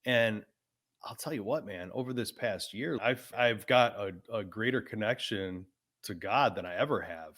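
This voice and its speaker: A man's voice: on the low side, normal speed, a bit bright. A man of about forty hosting a podcast, talking frankly and casually, often adding "man" and swearing. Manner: laid-back, frank, swears, says "man" a lot. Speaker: podcast host.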